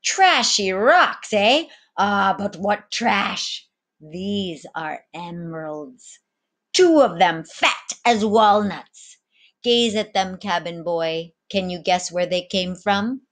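A worldly, commanding sea captain from a storybook, acted out by a woman reading aloud. He speaks slowly and theatrically, with a playful slyness and little questioning tags at the ends of his lines. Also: medium pitch, expressive, fairly bright tone. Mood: gloating. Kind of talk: storybook read-aloud dialogue.

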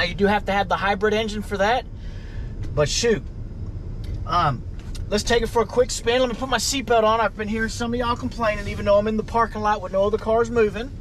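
A man's voice: high-pitched, fairly even intonation, fast. A middle-aged man giving a casual, folksy walkaround from inside a pickup truck cab. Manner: folksy, informal. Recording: camera audio with cabin noise.

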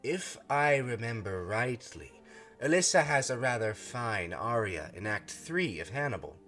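A man voicing a flattering male theater manager who speaks in a courtly, ingratiating way. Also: medium pitch, unhurried, soft background music.

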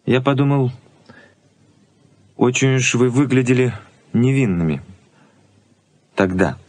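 An actor playing a principled, stubborn young fiancé in a 1980s radio play, speaking deliberately with theatrical pauses. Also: male voice, medium pitch, lively intonation, a little mellow, dry recording. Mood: suspicious. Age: young adult.

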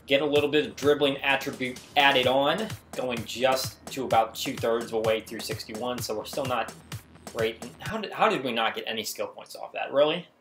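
A man's voice: medium pitch, a bit bright. A man hosting a gaming video, giving slangy, exclamatory, self-hyping play-by-play of his own game. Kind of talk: excitable gameplay commentary.

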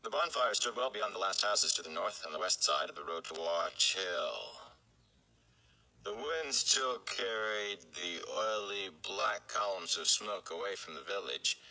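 Young male narrator, deep and creaky, dramatic fantasy read-aloud. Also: bright, forceful tone, rough recording. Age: young adult. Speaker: audiobook-style reader for a fan read-along. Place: small indoor room.

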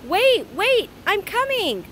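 Woman doing an earnest high-voiced boy, noisy outdoor recording. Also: big pitch swings, slow pace, fairly bright tone, full character voice. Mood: urgent, earnest. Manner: urgent, questioning, calling out. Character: earnest, questioning young boy in a children's adventure.

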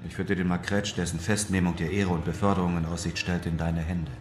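A man playing a cool, shrewd adventurer in a radio play, speaking calmly and with deliberate insistence. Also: deep voice, soft background music, natural voice. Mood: calm, sly. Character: cool, shrewd European adventurer driving a hard bargain. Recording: echoey room with background noise.

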